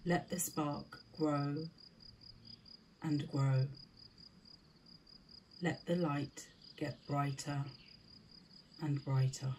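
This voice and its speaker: A woman leading a scripted guided meditation in a soothing, deliberate manner, with short spaced phrases. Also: low-pitched, steady tone, darkish tone, a little hiss or hum.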